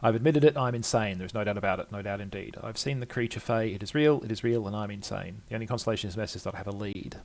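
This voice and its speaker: Man voicing an anxious, gravelly, muttering scholar. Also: lowish voice, fast, hardly any pauses, darkish tone. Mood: agitated. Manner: rambling, self-repeating, fretful. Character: anxious, muttering male scholar doubting his own sanity. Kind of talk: dramatic reading of game journal entries.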